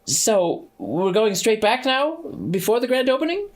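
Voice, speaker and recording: A man's voice: very high-pitched, sing-song, measured pace, voice pushed up, full character voice. A man voicing a prim, flustered male angel bookseller who stammers and dithers.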